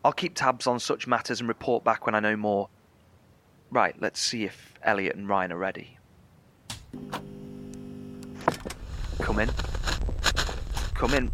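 A man playing a thoughtful survivor and radio coordinator in an audio drama, speaking deliberately and formally. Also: medium pitch, noisy background, lightly acted. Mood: brisk.

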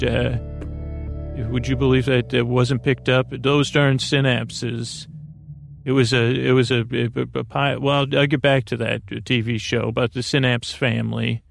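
A man with a creaky, gravelly voice hosts a sleep podcast, giving a soothing, meandering bedtime monologue with hesitant, repeating, lulling speech close to the microphone. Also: mid-range voice, level tone, faint music behind. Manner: meandering, hesitant, repeats and stumbles over words; lulling, gentle, drawn-out delivery.

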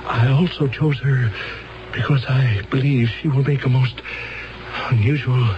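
An actor playing a faith healer's earnest aide in a radio drama, speaking with deliberate reverence. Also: male voice, mid-range voice, steady tone, background noise, lightly acted. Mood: earnest, admiring. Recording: dull old radio, music behind.

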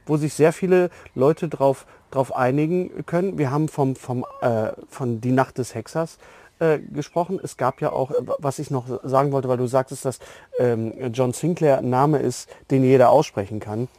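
A man's voice: medium pitch, normal speed, warm tone, no echo. A man interviewing in a conversational way as a podcast host.

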